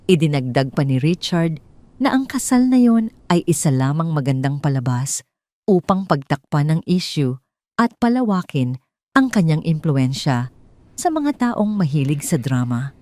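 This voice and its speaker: A woman's voice: low-pitched, sing-song, unhurried, warm tone, no room sound. A woman with a Filipino accent narrating a scripted dramatic story.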